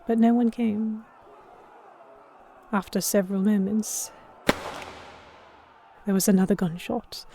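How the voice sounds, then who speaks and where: A woman giving expressive first-person narration in the style of a dramatic audiobook. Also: medium pitch.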